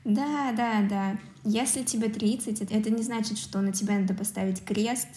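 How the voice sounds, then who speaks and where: Female podcast co-host, mellow tone, roomy echo. Casual, opinionated, explains her view on films. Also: medium pitch, steady tone, normal speed. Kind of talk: casual film discussion. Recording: roomy, echoing sound.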